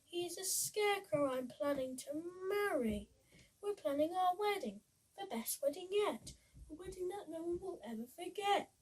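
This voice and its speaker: A woman's voice: very high voice, animated, normal speed, voice pushed up, poor audio. A woman voicing an earnest, loyal female scarecrow bride-to-be, speaking emphatically in a storybook reading. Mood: firm, hopeful.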